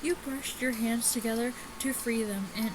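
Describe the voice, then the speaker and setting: A woman with a fairly high voice hosts a casual let's play. She reads on-screen story text haltingly, tripping over words and restarting. The home recording has clear background noise. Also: steady tone. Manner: halting, stumbles while reading aloud. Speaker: gaming video host.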